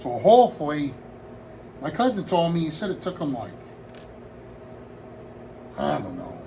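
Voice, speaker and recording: A man's voice: fairly high pitch, huge pitch swings, a little slow, creaky, warm tone, a little hiss or hum, rough recording. A man in his sixties talking casually to his viewers from his home hobby room, meandering and heartfelt, often restarting his sentences. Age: 60s. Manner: meandering, heartfelt, with frequent restarts and trailing asides. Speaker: hobby vlogger. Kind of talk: rambling casual talk to camera.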